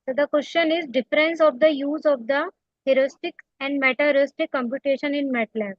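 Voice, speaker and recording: High-voiced woman moderating, dull lo-fi sound. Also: steady tone, a little fast, no echo. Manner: formal, polite. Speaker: session moderator. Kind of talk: formal online session announcements. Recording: dull, lo-fi online call audio.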